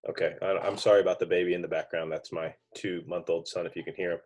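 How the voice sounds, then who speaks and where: A young man hosting a podcast, speaking in a relaxed, polite way with fillers. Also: low voice, quick pace, breathy edge. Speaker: podcast host. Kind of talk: conversational interview.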